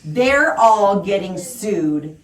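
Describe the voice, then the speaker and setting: A woman's voice: medium pitch, lively intonation, extremely slow. Woman teaching class in an echoey room. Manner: explanatory, with simple step-by-step phrasing and emphasis on key words. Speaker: drama teacher. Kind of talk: classroom instruction to children. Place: indoor school classroom. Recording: roomy echo, distant mic, faint noise.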